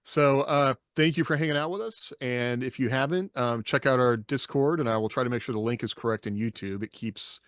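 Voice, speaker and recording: A man's voice: mid-range voice, fairly quick, few pauses, a bit bright, no echo, studio quality. A man hosting a podcast in a casual, conversational style. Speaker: podcast host. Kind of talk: casual discussion.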